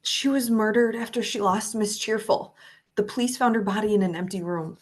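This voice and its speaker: A woman's voice: medium pitch, normal speed, lightly acted. A woman playing a caring, anxious young friend on a rescue, speaking earnestly and urgently in a screenplay table read. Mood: concerned.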